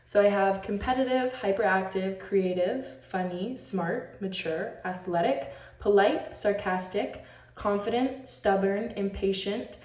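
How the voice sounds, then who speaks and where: A woman's voice: mid-range voice, a little slow, a little mellow. A teenage girl from Switzerland giving an upbeat, chatty show-and-tell presentation of a school project. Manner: chatty and upbeat, talks a lot. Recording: roomy echo, mic at a distance.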